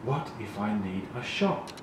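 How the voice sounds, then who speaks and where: Man putting on an excitable whiny little boy frog, echoey. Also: fairly low pitch, measured pace. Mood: reluctant. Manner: whining, exclaiming. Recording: very echoey, distant, noisy room.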